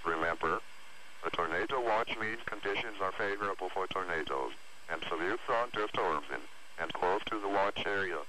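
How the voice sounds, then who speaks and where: A man's voice: low-pitched, normal speed, bright, forceful tone. A synthetic man's voice reading scripted weather alerts as an automated announcer over the radio. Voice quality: synthetic text-to-speech voice. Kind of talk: scripted hazardous weather bulletin. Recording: noisy weather radio broadcast recording.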